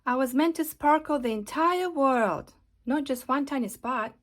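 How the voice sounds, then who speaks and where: Give the voice of a high-pitched, flamboyant bit of glitter from a children's storybook played by a woman. He is theatrical and self-important, gushing with drawn-out, affected grandeur. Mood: haughty.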